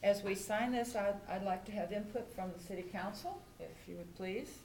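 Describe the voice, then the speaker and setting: An American woman presides formally over a public meeting in an official, civic style. Her medium-pitched voice rings in an echoey chamber, with faint noise underneath. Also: normal speed, darkish tone. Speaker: mayor chairing a city council meeting. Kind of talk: formal read-aloud resolution at a public meeting. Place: indoor council chamber. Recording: echoey room with background noise.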